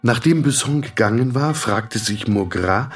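A man's voice: mid-range voice, expressive, unhurried, recorded from a distance, faint music behind, close to an everyday voice. An actor playing a weary, detached middle-aged male patient, narrating his inner thoughts in a reflective, sardonic literary monologue. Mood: detached, musing.

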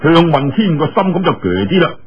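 A man's voice: fairly high pitch, big pitch swings, brisk, husky. A man with a Cantonese accent telling a martial arts tale in a colloquial, dramatic oral storytelling style. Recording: dull, lo-fi audio.

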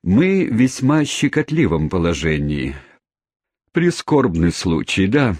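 A small, cautious lawyer, courteous and smooth, voiced by a male reader. His voice stays close to the reader's own: low and husky. The sound is dry and close. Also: sing-song, takes it slowly, a little mellow, no room sound, full character voice. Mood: placating.

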